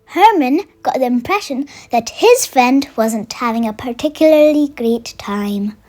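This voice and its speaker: A storyteller reading a children's bedtime picture book aloud in an expressive way, made for young listeners. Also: high voice, a little slow. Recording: music under the voice. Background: music.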